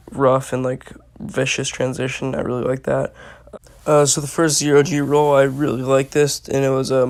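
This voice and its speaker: Hoarse young man rambling over footage. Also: medium pitch. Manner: rambling with many fillers. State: hoarse from allergies. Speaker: roller coaster reviewer. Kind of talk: casual voice-over commentary.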